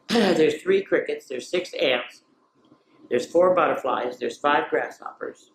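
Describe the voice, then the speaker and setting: Woman, children's storyteller, expressive, playful, chatty asides, read-aloud style, roomy echo. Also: low voice, takes it slowly, slight background noise. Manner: expressive, playful, drops into whispers for refrains. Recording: roomy, echoey sound.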